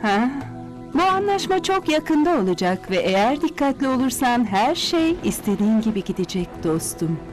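A high-pitched cartoon fortune-teller peers into the future with uneasy, mystical drama. She pauses and hesitates, with clear music behind her. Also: sing-song. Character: female fortune-teller gazing into a crystal ball, uneasy. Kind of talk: cartoon dubbing.

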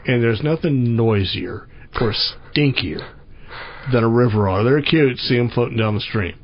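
A man's voice: mid-range voice, normal speed, highs cut off. A man hosting an outdoor radio show, chatting with a guest in a folksy, plainspoken way. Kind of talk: radio interview.

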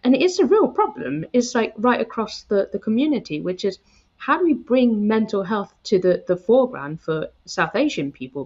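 Empathetic woman host, slightly dull audio. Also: mid-range voice. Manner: empathetic, hesitant with many fillers. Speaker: podcast host. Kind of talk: casual interview chat. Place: home room with bookshelves. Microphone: headset.

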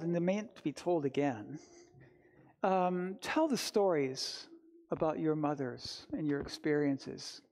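A man's voice: a little high, a little mellow. An older man preaching as a pastor, telling personal stories in a reflective, conversational way. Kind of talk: informal storytelling sermon. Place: church sanctuary, standing before the altar.

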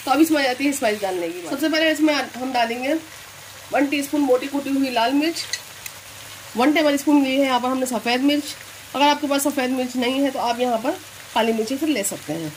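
A woman with a Delhi-area Hindustani accent explains a home recipe step by step in a calm, instructive way. Her voice sits a little high. Faint kitchen sounds run underneath. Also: a little fast, a little hiss or hum, rough recording. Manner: calm instructive, repeats "here" and "this way". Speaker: cooking channel host.

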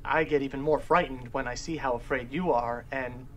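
Actor as a scared young man telling a nightmare, halting and hushed, faint diner hum, film clip. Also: mid-range voice, fairly even intonation, close to an everyday voice. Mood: fearful. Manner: anxious, halting, hushed retelling.

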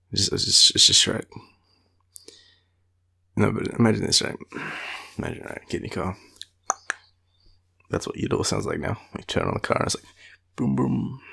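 Deep, gravelly, sleepy Australian man. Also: huge pitch swings, sharp tone. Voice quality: low, gravelly, husky. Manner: sleepy, playful, teasing, halting. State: tired. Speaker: boyfriend roleplay audio performer. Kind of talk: intimate boyfriend roleplay, casual sleepy rambling.

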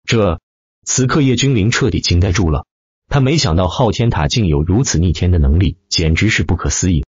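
A man's voice: low voice, lively intonation, fairly quick, husky, no echo, highs cut off. A man narrating a dramatic fantasy audiobook from a script.

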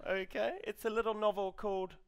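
An English man in his thirties giving a live comedy reading as a writer, in a hesitant, earnest, deadpan manner. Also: sits high, a little fast, background noise. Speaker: comedian reading as an amateur novelist character. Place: small stage set indoors, before an audience. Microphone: headset.

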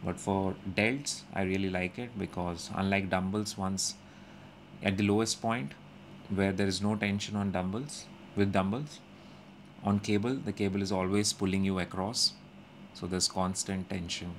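A young man calmly narrating his gym workout as a voice-over, explaining each step with frequent pauses. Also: low-pitched, a little hiss or hum. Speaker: fitness vlogger. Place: indoor gym.

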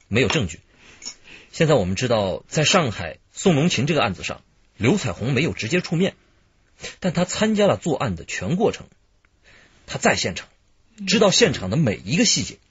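A husky, animated, thoughtful detective. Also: male voice, medium pitch, no room sound, muffled recording, heavily acted. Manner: careful, deliberate reasoning. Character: thoughtful male detective, cautious and analytical. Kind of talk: Chinese radio crime drama acting.

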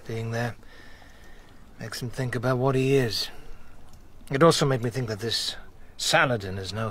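An actor playing a seasoned, loyal knight in a radio drama, plain-spoken and matter-of-fact. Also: male voice, medium pitch, a bit bright, a little hiss or hum.

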